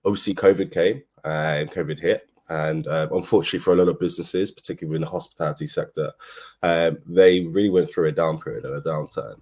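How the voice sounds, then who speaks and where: Very deep-voiced London man. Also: steady tone, quick pace, darkish tone. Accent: London English. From London, England. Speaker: agency co-founder, speaker. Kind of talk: informal business presentation, telling own story.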